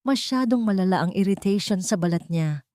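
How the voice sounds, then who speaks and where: A woman's voice: medium pitch, animated, brisk, a little mellow, no room sound, natural voice. A woman narrator plays a young woman studying medicine in an audio drama. She is protective and suspicious, with a firm, probing manner full of concern, recorded close and dry. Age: young adult.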